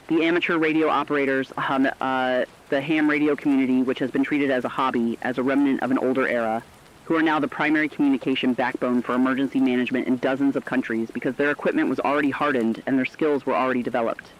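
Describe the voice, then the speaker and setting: Guy playing earnest radio broadcaster in a disaster drama, quick solemn speechy delivery, muffled lo-fi sound. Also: mid-range voice, lightly acted. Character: earnest male emergency broadcaster honouring ordinary people in crisis. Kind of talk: scripted radio monologue.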